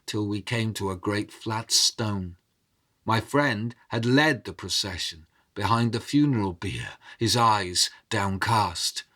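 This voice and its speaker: A man narrating as an oral storyteller, theatrical and deliberate, in short measured phrases. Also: lowish voice, a bit bright. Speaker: storyteller, podcast host.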